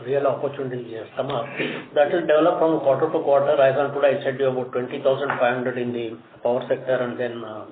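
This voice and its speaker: Indian man on a tinny, echoey line. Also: mid-range voice. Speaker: company executive on an earnings call. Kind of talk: answering analyst questions on a conference call. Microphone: conference phone line. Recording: tinny phone line, echoey room, background noise.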